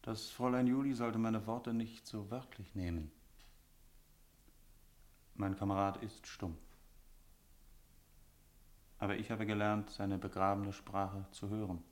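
A man plays a mysterious smuggler, an outsider from the forests, in a radio drama. His voice is fairly low. He talks tersely and with measure, proud and cryptic. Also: fairly even intonation, a little slow, long pauses, natural voice. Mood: solemn. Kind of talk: German radio play acting.

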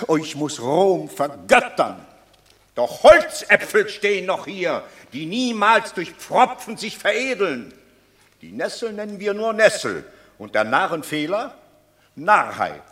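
An actor playing an excitable old Roman patrician in a radio play, swinging from hushed whispers to loud outbursts. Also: male voice, on the high side, slow pace, heavily acted. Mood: exuberant. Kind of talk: classic radio play acting.

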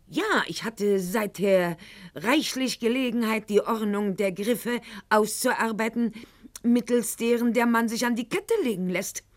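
An actress playing a proud, bitter, sickly aristocratic lady in a theatrical radio monologue, with mocking, precise, formal diction. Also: fairly high pitch. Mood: haughty, scornful.

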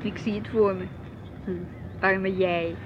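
An actress playing a middle-aged housewife in a radio drama, teasing and gently assertive, questioning and mildly protesting. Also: female voice, mid-range voice, steady tone, slow, close to an everyday voice. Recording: dull lo-fi radio play, faint noise.

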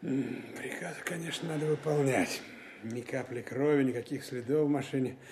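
An actor playing a dry, exacting counterintelligence lieutenant colonel, terse and commanding, in a wartime audio drama. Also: male voice, mid-range voice, creaky, background noise, close to an everyday voice. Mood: dry irony, then stern reproach. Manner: terse, commanding, deliberate questioning. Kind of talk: Russian wartime audio drama, narration and dialogue.

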